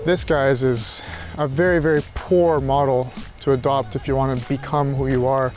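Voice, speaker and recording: A man rambling casually and reflectively in a halting monologue as he walks outdoors, thinking aloud. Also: medium pitch, steady tone, darkish tone, soft background music. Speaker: philosophy tutor vlogger. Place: outdoors, walking.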